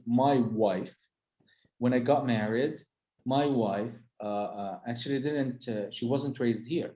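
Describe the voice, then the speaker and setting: A man's voice: mid-range voice, unhurried, mellow, roomy sound, dull audio. A middle-aged man, a tour guide whose first language is Arabic, talking casually with lots of "uh" and restarts. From Holy Land. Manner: hesitant, many fillers and restarts. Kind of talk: casual conversation.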